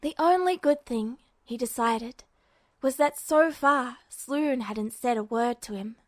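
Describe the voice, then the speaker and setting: A woman reading fanfiction aloud as podfic narration in a steady, even manner. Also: on the high side, slow pace, no room sound, clean studio sound. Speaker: fanfiction reader.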